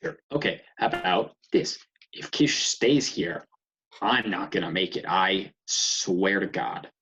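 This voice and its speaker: A man's voice: deep voice, animated, takes it slowly, sharp tone, slight background noise, heavily acted. A man playing a tired, sarcastic young man who complains about his housemates in a comedy table read. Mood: exasperated. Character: sleep-deprived, exasperated young man with housemate troubles. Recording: muffled video call audio.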